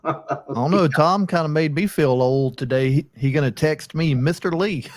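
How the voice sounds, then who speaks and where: A man's voice: on the high side, normal speed. A man in his forties with a Southern American accent, hosting a casual live chat. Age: 40s. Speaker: host.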